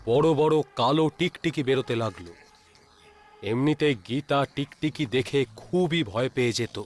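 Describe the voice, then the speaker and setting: A man's voice: mid-range voice, slight background noise. A man narrating a scripted ghost story as a storyteller.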